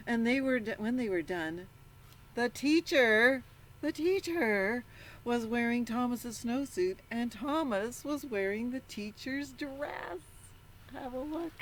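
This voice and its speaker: Animated woman reading to children, unhurried. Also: fairly high pitch, a little hiss or hum, rough recording. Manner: interactive, prompts listeners with questions. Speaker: storyteller reading a picture book aloud to children. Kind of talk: animated read-aloud with asides to young listeners.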